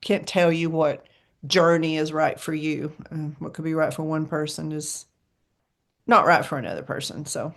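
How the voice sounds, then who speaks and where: A woman's voice: low voice, steady tone, moderate pace, a bit bright. A woman hosting a casual podcast chat, talkative and laughing often. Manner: chatty, laughs often, trails off. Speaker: podcast host.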